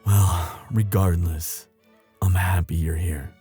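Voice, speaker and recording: A man playing a young prince, a tender and anxious lover, in an intimate, halting romantic audio roleplay. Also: low voice, fairly even intonation, dark tone, soft background music. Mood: tender, teasing.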